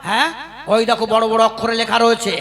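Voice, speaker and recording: A man's voice: very high-pitched, level tone, fast talker. A man voicing a greedy government official in a puppet cartoon who blocks boats until he is paid a bribe. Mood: smug. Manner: smug, bossy, demanding bribes. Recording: voice over loud music.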